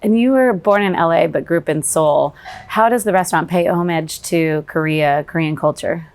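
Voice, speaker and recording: A woman's voice: sits low, normal speed, a little mellow. A woman hosting an interview tour, asking polished, upbeat questions. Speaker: host.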